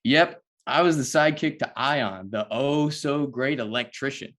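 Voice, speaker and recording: Young male ex-sidekick, man acting, mid pitch, dry, guarded, table read. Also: a little slow, a bit bright, close to an everyday voice. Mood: dry, awkward.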